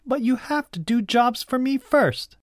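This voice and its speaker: A storybook narrator voicing a bossy, grumpy young girl who gives sharp, demanding orders. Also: mid-range voice, lively intonation, a little slow, no echo, studio quality, voice pushed up, close to an everyday voice. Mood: bossy bargaining. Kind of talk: children's storybook character voice.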